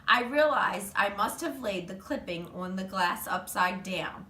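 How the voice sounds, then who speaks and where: A woman reads a children's book aloud with animated expression. Her voice is bright and forward, caught a little far from the mic in an echoey room with faint noise behind it. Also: medium pitch, unhurried. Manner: animated storytelling. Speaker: reader, teacher.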